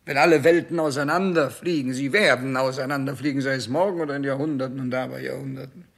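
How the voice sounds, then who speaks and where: An actor playing a grandiose, self-obsessed dying king terrified of death, theatrical in a 1960s German radio drama, with a husky, gravelly voice. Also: medium pitch, steady tone. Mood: bitter, fading. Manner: theatrical, slow declaiming, swinging between bombast and faint pleading.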